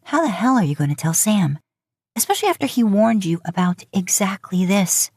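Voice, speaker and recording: A woman narrating a scripted romantic story as an expressive, intimate storyteller. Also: mid-range voice, normal speed, breathy edge, dry recording. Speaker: podfic narrator.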